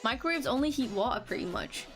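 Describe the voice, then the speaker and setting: A woman's voice: a little high, lively intonation, fast, over music. A young woman, chatting casually on a live stream, rambling, restarting sentences and laughing now and then. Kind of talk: casual chat reading comments.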